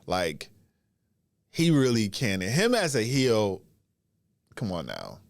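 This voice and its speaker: A man hosting a wrestling podcast in casual solo commentary, sounding stuffy and congested as he gets over a cold, and talking in drawn-out phrases with pauses. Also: lowish voice, huge pitch swings, vocal fry, no echo.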